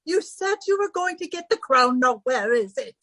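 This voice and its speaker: A fussy, dramatic society hostess in an old radio comedy. Her voice is extremely high and shrill, swooping theatrically, with a dry close sound. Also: animated, normal speed, bright, forceful tone, dry recording, heavily acted. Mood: fussy, brisk. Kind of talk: 1940s radio comedy acting.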